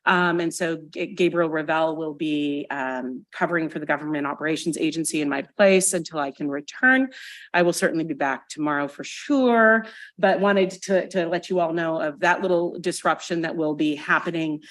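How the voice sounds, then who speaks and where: A woman with a fairly low voice chairs a public meeting in a hall. She speaks loudly and warmly, often pausing with ums and restarting her phrases. A table microphone sits off to one side of her. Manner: loud, friendly, with many um fillers and restarts. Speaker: commission chair. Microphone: sensitive table microphone held off to the side.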